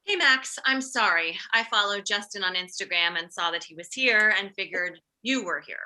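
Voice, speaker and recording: A woman acting an excitable, jealous, meddling middle-aged wife and mother in a comedy script read, blunt and needy. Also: medium pitch, moderate pace. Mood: breezy, meddling.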